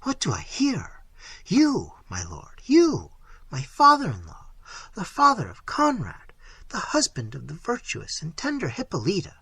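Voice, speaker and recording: A man voicing a frightened young noble lady, soft and breathy, speaking in hushed tones. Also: fairly high pitch, wildly swooping pitch, moderate pace, no room sound, exaggerated voice. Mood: shocked.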